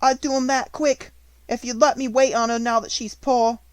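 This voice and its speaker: A reader voicing a poor young servant girl who begs and sobs in rough, ungrammatical speech. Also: high voice, fairly even intonation, measured pace, no room sound, voice pushed up. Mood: sobbing.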